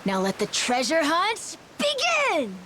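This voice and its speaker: A woman's voice: very high voice, wildly swooping pitch, slow pace, bright, forceful tone, a little hiss or hum, heavily acted. A woman voicing an eager, wide-eyed young pirate crewmate in a video game, gushing with childlike enthusiasm. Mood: excited.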